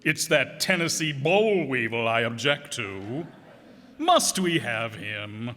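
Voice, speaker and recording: A man's voice: medium pitch, sing-song, takes it slowly, bright, forceful tone, heavily acted. A man playing a fussy, disapproving, self-important husband in an old-time radio comedy. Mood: fussy, exasperated. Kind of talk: 1940s radio comedy acting. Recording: reverberant hall.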